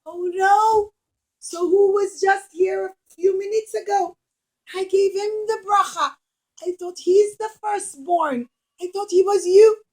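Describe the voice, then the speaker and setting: An old patriarch puppet with a high voice, voiced by a woman. He is gentle, earnest and easily worried, speaking in simple emphatic phrases for a children's puppet show. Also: slow, low-quality recording. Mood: dismayed.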